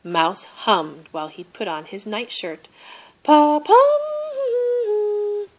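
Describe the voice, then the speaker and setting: A woman reading a children's story aloud as an expressive narrator. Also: lowish voice, a little slow. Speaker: storybook reader.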